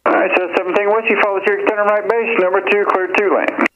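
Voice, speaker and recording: A man with an American accent working as a tower controller, giving clearances over aviation radio. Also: high voice, little pitch movement, very fast, a bit bright. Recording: muffled radio transmission, faint noise.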